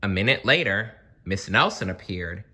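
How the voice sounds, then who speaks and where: A storybook reader narrating for children in an expressive, deliberate way with dramatic pauses. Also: low-pitched, husky.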